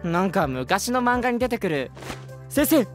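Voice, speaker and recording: An actor playing a playful, game-loving high school boy with a light, teasing, flippant manner. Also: sing-song, measured pace. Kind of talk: Japanese voice drama acting. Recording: music behind the voice.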